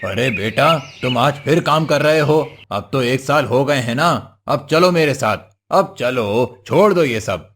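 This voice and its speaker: A man playing a menacing devil master in a cartoon moral story, with a slow, drawn-out, commanding delivery. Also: medium pitch, animated, a bit bright. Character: menacing male devil master, easily outwitted.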